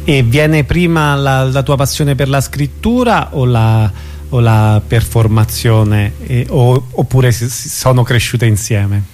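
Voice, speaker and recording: A man's voice: medium pitch, slow, darkish tone, slight background noise. A man hosting a radio interview, asking questions conversationally with hesitant, searching phrasing.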